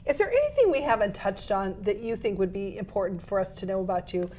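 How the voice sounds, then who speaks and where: A woman's voice: medium pitch, animated, fast talker, dark tone. A woman hosting a community television talk show, prompting her guest with questions and short affirmations. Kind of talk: community TV interview.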